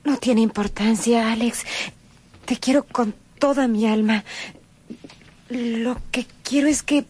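A woman playing a frail, wounded young woman in love, tender and halting, in a radio melodrama. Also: a little high, steady tone, a little mellow, husky. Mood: tender, weak. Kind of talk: melodramatic radio drama acting.

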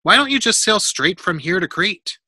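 A curious storybook boy, read out by a grown man, earnest and full of questions. The voice is bright and crisp, recorded dry. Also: high voice, expressive, normal speed, dry recording, pitched above the performer's own voice, full character voice. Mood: curious, eager. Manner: inquisitive, earnest. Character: curious, eager ancient Greek boy in a storybook.

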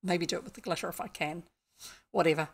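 Casting: older New woman, gravelly edge, to host a casual, rambling livestream chat. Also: on the low side, fast talker. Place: indoor room, home streaming setup.

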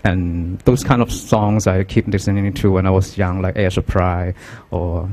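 A young man with a Hong Kong accent talks in a halting, soft-spoken way, his voice lowish and mellow. His first language is Cantonese, and he answers interview questions on a handheld mic at an art fair booth. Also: flat delivery. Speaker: guest artist. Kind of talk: casual interview talk.

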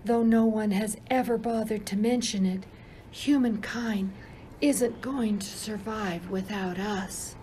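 A woman's voice: mid-range voice, steady tone, takes it slowly, dark tone, a little hiss or hum, lightly acted. A woman playing Hope, a plaintive little spirit, declaiming theatrically in a radio play. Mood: pleading, earnest.

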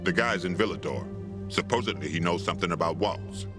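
Male actor as weathered middle-aged pilgrim, very low voice, laid-back, wry, big-hearted, video game dialogue, clear background music. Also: brisk, lightly acted. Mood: matter-of-fact. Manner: laid-back, teasing, wistful.

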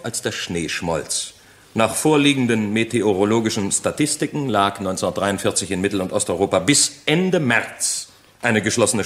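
A man's voice: medium pitch, natural voice. A man playing a shrewd, methodical defence lawyer in a German crime radio drama, questioning formally and pointedly. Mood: probing. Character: shrewd, insistent male defence lawyer, methodical cross-examiner. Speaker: actor in a courtroom radio play.

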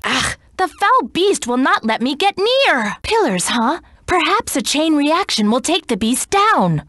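A woman's voice: high voice, sing-song, a little slow, a bit bright, no room sound, studio quality, exaggerated voice. An actress playing a proud, composed young female cat knight in a video game, speaking in formal, archaic, stern diction. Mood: alert, determined. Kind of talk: video game character voice clips.

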